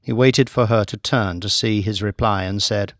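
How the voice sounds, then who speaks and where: A man reading a scripted audiobook story in a measured, deliberate storytelling manner. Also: on the low side, steady tone, dry recording, studio quality. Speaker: audiobook narrator, author reading own book.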